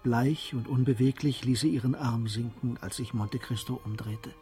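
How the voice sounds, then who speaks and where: A man narrating a German radio drama as a calm, measured literary storyteller. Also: mid-range voice, faint music behind, close to an everyday voice. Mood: calm, narrative.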